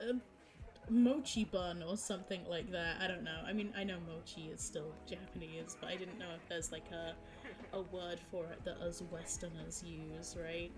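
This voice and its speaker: A young woman streamer talks casually with her viewers and laughs at herself a lot. Her voice sits fairly low for a woman and sounds a bit sore. Music plays clearly behind her. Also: steady tone, normal speed. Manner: chatty, giggly, self-mocking. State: sore throat.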